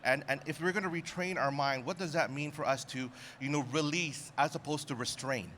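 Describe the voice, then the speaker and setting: A man's voice: fairly high pitch, a little fast, fairly bright tone, a little hiss or hum. A man from Canada, a ministry leader, answering on a panel in an articulate, reflective way.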